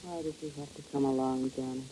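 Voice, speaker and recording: Desperate, dark-toned scheming femme fatale. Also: female voice, very deep voice, steady tone, fast, a little hiss or hum, rough recording. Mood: desperate pleading. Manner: intense, dramatic, pleading. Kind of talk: 1950s radio drama acting.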